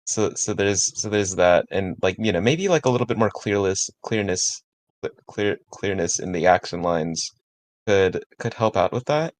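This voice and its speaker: Male, lowish voice, halting participant giving informal script notes, repeats himself as he goes. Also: no room sound. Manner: halting and repetitive, restarting words often. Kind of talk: casual spoken feedback.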